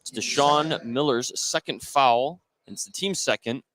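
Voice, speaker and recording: A man's voice: medium pitch, measured pace, a loud crowd, poor audio. A man doing live play-by-play radio commentary on basketball, in clipped running phrases that follow the action. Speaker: play-by-play announcer. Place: indoor basketball game. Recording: clear background noise from live gym.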